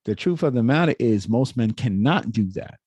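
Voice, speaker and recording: Dark-voiced man lecturing. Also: mid-range voice, animated, normal speed, slightly rough, dry recording. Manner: lecturing, frequent restarts and self-corrections. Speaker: reaction video host. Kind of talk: casual opinionated commentary.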